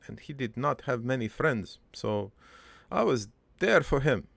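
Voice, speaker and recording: A man acts out a gravelly old cartoon father telling his life story from beyond the grave. He rambles haltingly, with crude deadpan humour and a wistful, doting streak. The voice creaks heavily, moves at an unhurried pace and sits close and dry. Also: mid-range voice, no echo, heavily acted. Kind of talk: improvised first-person cartoon monologue.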